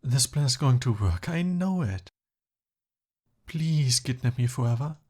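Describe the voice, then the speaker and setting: Man playing a haughty, flustered young prince, unhurried. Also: mid-range voice, animated, a little mellow. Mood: desperate pleading. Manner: haughty formal speech, flustered and pleading. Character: pompous, pleading young human prince held by a dragon. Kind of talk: ASMR roleplay voice acting.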